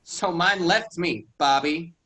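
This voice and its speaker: A man's voice: fairly high pitch, slow, pushed and bright, full character voice, low-quality recording. An actor reading a stubborn rural young man, curt and defensive in a staged play reading.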